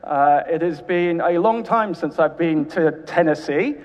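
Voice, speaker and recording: Man preaching, mid-atlantic accent from south carolina, dry jokes, muffled sound in a big echoing church. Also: a little high. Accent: mid-Atlantic, American Southern with British. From South Carolina, USA. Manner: genial, dry humor, measured. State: jet lagged. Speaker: cathedral canon preaching as guest. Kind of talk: sermon. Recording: dull sound, long reverb of a church.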